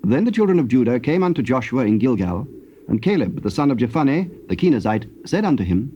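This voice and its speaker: A man with a British accent giving formal, measured narration for a dramatized scripture reading. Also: mid-range voice, very animated, mellow, lo-fi sound. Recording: noisy old cassette tape recording.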